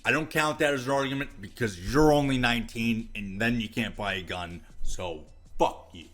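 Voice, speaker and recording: A man's voice: medium pitch, normal speed, fairly bright tone, soft background music. An American man giving opinionated commentary, reading aloud and reacting with sarcastic, profane scorn. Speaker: video commentator.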